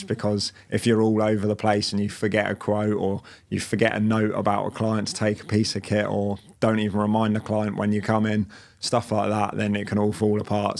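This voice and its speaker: Man, late twenties, low gravelly voice, casual interview guest, loose and rambling. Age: late 20s. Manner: relaxed, rambling with fillers and restarts. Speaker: guest, business owner.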